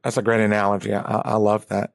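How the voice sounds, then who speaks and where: A man's voice: lowish voice, flat delivery, fast talker, vocal fry, no echo, clean studio sound. A man hosting a podcast interview, chatting casually with self-deprecating jokes and some repeated words. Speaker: podcast host.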